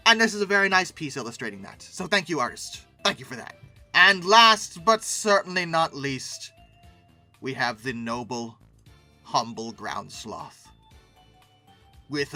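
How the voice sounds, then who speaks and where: A man's voice: medium pitch, bright, forceful tone. A man hosting casual, humorous commentary, speaking emphatically and repeating words for effect. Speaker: host of a paleoart commentary channel.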